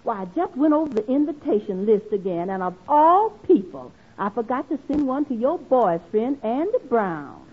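A thin old broadcast carries a kindly, fussy middle-aged mother. Her voice is high and husky, genteel and proper in the manner of 1940s radio acting. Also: very animated, moderate pace, few pauses, narrow, tinny audio. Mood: fretful.

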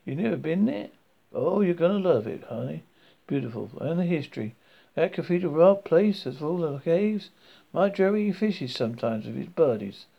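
A man's voice: a little high, very animated, normal speed, gravelly, muffled recording, heavily acted. A man voicing a chatty, friendly young woman with a Southern US accent, gushing and talkative.

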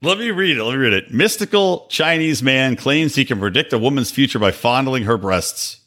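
Bright-voiced man bantering excitedly. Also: mid-range voice, brisk. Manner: joking, crude, excitable. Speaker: podcast host. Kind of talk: casual comedy banter.

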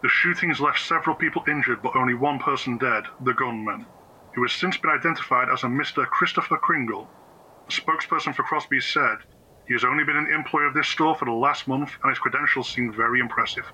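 An actor playing a formal television newsreader giving a news bulletin in an audio drama. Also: male voice, mid-range voice, brisk, sharp tone, slight background noise, low-quality recording. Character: male television newsreader delivering a news flash.

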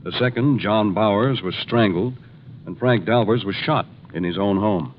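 A man playing an earnest, worried insurance executive in a 1950s radio drama, speaking gravely and emphatically. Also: lowish voice, normal speed, natural voice. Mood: grave, urgent. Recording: dull, faintly noisy 1950s radio recording.

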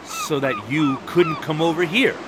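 A man's voice: a little high, huge pitch swings, a little slow, background noise. A man playing a proud, defensive border wall guard in a one-act audio play, arguing emphatically. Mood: indignant. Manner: emphatic, argumentative.

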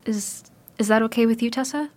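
A woman's voice: on the high side, brisk, a little mellow, soft background music, lightly acted. A woman playing a grieving, frightened young daughter in an audio drama, speaking in a plaintive, hesitant way. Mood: anxious, hesitant.

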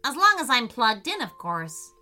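Talking storybook lamp, female, dramatic and kind, done by a woman; bright, animated, exclamatory delivery. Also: on the high side, moderate pace, faint music behind, heavily acted. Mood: helpful. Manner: theatrical, exclamatory. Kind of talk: children's storybook character voice.

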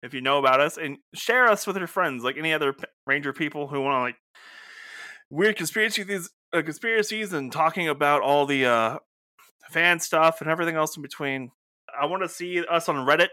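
A man's voice: fairly high pitch, fairly quick, bright, forceful tone, no room sound. A man co-hosting a podcast, chatting casually and jokingly in an informal way. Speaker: podcast co-host. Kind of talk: casual banter.

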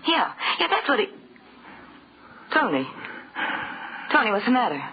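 A woman playing a frightened, pleading wife in a 1940s radio horror play, speaking in a halting, anxious, breathless way. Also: on the high side, big pitch swings. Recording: muffled, noisy 1940s radio recording.

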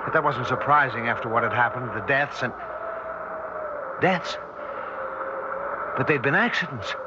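A man's voice: medium pitch, expressive, normal speed, close to an everyday voice. An actor playing a troubled male scientist in a 1960s radio drama, speaking in dramatic, reflective, clipped phrases. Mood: tense, haunted. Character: troubled male electronics scientist narrating his strange ordeal. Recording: muffled, noisy 1960s radio recording.

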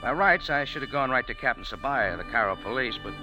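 Man playing a hardboiled café owner narrating over music, lively wry delivery, old radio broadcast. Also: mid-range voice, fast, low-quality recording. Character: wisecracking American male café owner. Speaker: lead and narrator.

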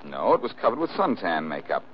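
An American actor playing a cool, sardonic amateur detective in a 1940s radio mystery, glib and self-assured, on an old recording. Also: male voice, sits low, sing-song, brisk. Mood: confident, sardonic. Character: wisecracking, cool-headed amateur detective man, quick and sardonic. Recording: dull, hissy old-time radio recording.

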